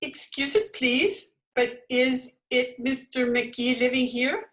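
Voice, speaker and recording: Woman playing slow, polite foreign piano teacher. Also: sits high, a bit bright. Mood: polite, eager. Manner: polite, halting broken English. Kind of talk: old-time radio comedy acting.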